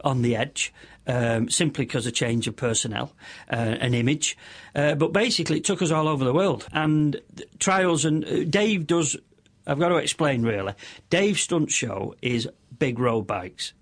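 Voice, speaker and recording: A middle-aged man with a squeaky voice, a radio interview guest who rambles through long stories with many restarts and self-deprecating asides. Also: measured pace. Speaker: radio interview guest, motorcycle stunt show compere.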